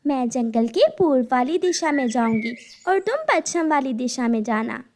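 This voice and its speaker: A woman's voice: sits high, animated, normal speed, a little mellow, slight background noise. A woman voicing a practical female bird who sells bangles, kindly but firm and matter-of-fact, in a cartoon. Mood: helpful.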